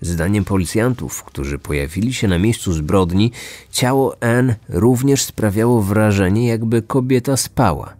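A man's voice: on the low side, measured pace, darkish tone, no room sound. A man hosting a true-crime podcast, reading a scripted narration. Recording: music bed behind the voice.

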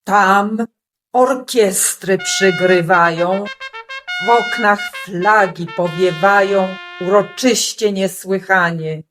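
A woman narrating a rhymed children's story with theatrical, deliberate, emphatic phrasing on a noisy amateur recording. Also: medium pitch, over music. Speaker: storyteller in a community radio play.

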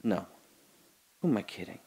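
A man's voice: low voice, big pitch swings, normal speed, gravelly, dark tone, slightly rough, full character voice. An actor playing a man alone in a holding cell who talks through his doubts about his memories in an audio drama monologue, musing and questioning, turning from wry to earnest.

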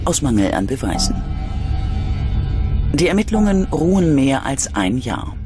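A man tells a true-crime story as a documentary narrator, reading from a script. His mid-range voice moves widely in pitch, with measured, dramatic phrasing. Music plays behind him. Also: very animated. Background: background music.

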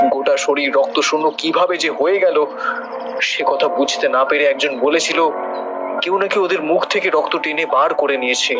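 Man acting a grave, higher-voiced village man. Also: close to an everyday voice, rough recording. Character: grave male villager recounting a dreadful mystery. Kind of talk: audio horror story acting. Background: background music.

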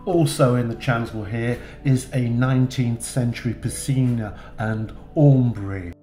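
A man hosting a church tour video, narrating in a slow, dry way with wry asides. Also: medium pitch, steady tone, warm tone, echoey room, faint music behind.

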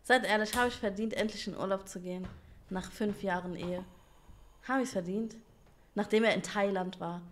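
A woman's voice: mid-range voice, sharp tone, a little hiss or hum. A woman co-hosting a livestream, teasing and competitive in casual chat. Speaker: co-streamer.

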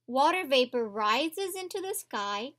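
A woman's voice: high voice, sing-song, unhurried, fairly bright tone. A woman teacher reading aloud to young children, instructing and putting questions to them directly. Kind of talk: read-aloud storybook lesson for young children. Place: indoors at a table, reading from a picture book.

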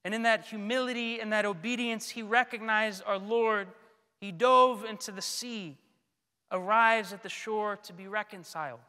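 Man, clear resonant voice, unhurried solemn preaching, measured phrases, long church echo. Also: high-pitched, fairly even intonation, sharp tone. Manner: solemn, deliberate, with frequent pauses. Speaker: priest. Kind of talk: sermon, measured scripted delivery. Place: large church, indoors at a pulpit. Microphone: gooseneck pulpit microphone.

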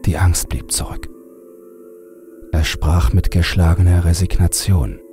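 Very deep, slow male horror narrator. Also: steady tone, music in the background. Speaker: audiobook narrator. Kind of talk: scripted horror story narration.

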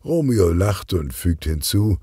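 Man, scripted crime storytelling for children, dark mellow tone, animated intonation, dry studio sound. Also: sits low, slow pace, husky, no room sound. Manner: expressive storytelling, acts out dialogue. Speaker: audiobook narrator.

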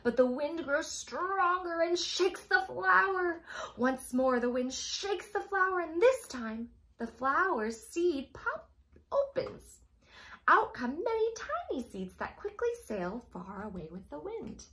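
A young adult woman reading a picture book aloud to children as a storytime host, in an expressive manner that speaks directly to young listeners. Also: high voice, takes it slowly. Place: small room at home, indoors.

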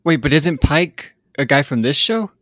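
A man's voice: on the high side, animated, moderate pace, fairly bright tone. A man co-hosting a casual film podcast, curious and full of questions. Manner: curious, asks many questions. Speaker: podcast co-host.

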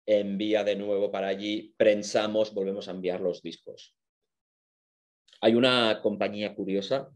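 A man's voice: fairly low pitch, darkish tone. A man with an accent from Spain, giving an informal explanatory lecture in an enthusiastic, conversational manner.

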